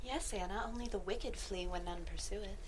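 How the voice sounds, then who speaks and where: A woman reading a possessed thirteen-year-old girl who taunts eerily and quietly at a horror script table read. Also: medium pitch, moderate pace, close to an everyday voice. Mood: eerie taunting.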